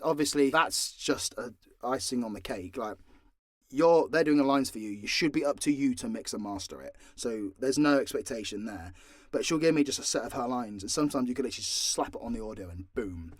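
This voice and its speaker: A man's voice: mid-range voice, lively intonation, a little fast, dry recording, clean studio sound. A British man casually answering fan questions off the cuff, sweary and joking with mock exasperation. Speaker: audio roleplay creator and voice actor.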